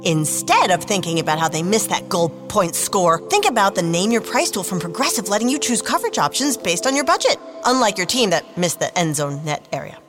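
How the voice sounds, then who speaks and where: A woman's voice: mid-range voice, very animated, fairly quick, few pauses. Perky woman in a radio ad. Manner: perky, bubbly comic delivery. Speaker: ad spokesperson. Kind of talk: scripted radio commercial. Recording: ad with background music.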